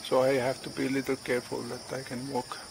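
A man in his forties vlogging casually outdoors on a farm, speaking in halting English and searching for words, recorded on a handheld phone. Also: mid-range voice, completely flat delivery, vocal fry, background noise. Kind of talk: casual vlog narration. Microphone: phone camera at arm's length. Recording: outdoor ambience with insects and animals.

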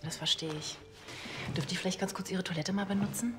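A woman playing a sensible, quick-witted police officer in a TV crime drama. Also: fairly low pitch, steady tone, close to an everyday voice. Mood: polite. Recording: faint noise and ambience.

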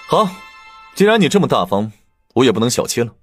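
A man's voice: mid-range voice, sing-song, normal speed, natural voice. A man playing a suave, sly, teasing aristocrat in an audio drama, talking playfully. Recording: dry, music behind the voice.

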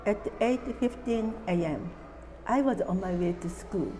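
Elderly woman whose first language is Japanese, slow careful delivery, mellow tone, reverberant room. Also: medium pitch, expressive, a little hiss or hum. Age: 80s. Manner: slow, careful, deliberate reading from notes. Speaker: atomic bombing survivor. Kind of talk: reading a prepared testimony. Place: indoor room, seated at a table.

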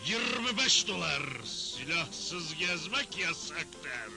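A man's voice: fairly high pitch, very animated, takes it slowly, creaky, music in the background, full character voice. A man voicing a blustering, greedy Western judge in a Turkish cartoon dub, with a theatrical delivery. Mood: threatening, combative.

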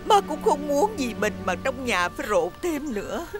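An actress playing a gentle, self-sacrificing mother who speaks softly and tearfully. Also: sits high, sing-song, moderate pace. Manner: soft, tearful pleading. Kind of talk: stage drama acting. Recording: music behind the voice.

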